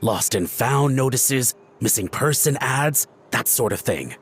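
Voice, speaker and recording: A man's voice: medium pitch, expressive, a little slow, slight background noise. An actor playing a nervous, fawning male antique collector in a video game cutscene, pleading and stammering. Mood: confessional.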